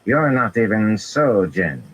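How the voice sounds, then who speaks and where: A man's voice: on the low side, sing-song, takes it slowly, fairly bright tone, a little hiss or hum, pitched above the performer's own voice, full character voice. A man voicing a defiant, gray-haired woman captor who speaks in a twangy drawl, in an audiobook. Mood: scornful. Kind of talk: audiobook character voice.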